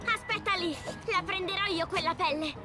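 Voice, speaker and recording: Casting: determined boy leopard cub, very high youthful cartoon voice, earnest, with music underneath. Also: moderate pace. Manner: earnest, urgent, headstrong. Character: brave, determined young male leopard cub. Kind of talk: animated children's cartoon acting.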